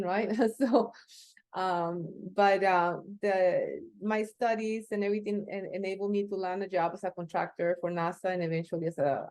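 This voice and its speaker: A woman in her fifties from Costa Rica, speaking English with a Costa Rican accent as an interview guest, answering haltingly with many fillers and restarts. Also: fairly low pitch, a little mellow, no room sound. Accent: Costa Rican Spanish. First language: Spanish. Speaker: interview guest, space agency executive.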